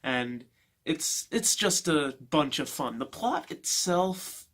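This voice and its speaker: A man giving a casual, unscripted book review in an informal, opinionated way, halting as he gathers his thoughts. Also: on the high side, expressive, a bit bright.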